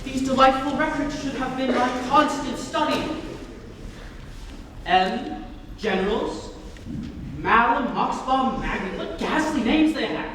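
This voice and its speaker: A young man playing an excitable, earnest Victorian gentleman in a theatrical reading of a stage comedy. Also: very high voice, takes it slowly, pauses often, full character voice. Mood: urgent. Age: young adult. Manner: theatrical, exclamatory. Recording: echoey hall from far off, noisy.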